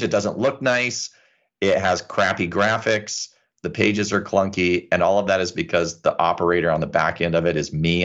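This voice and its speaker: A man's voice: low voice, muffled recording. A man speaking as a lawyer and podcaster, candid and self-deprecating in a casual conversation. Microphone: USB microphone into a computer.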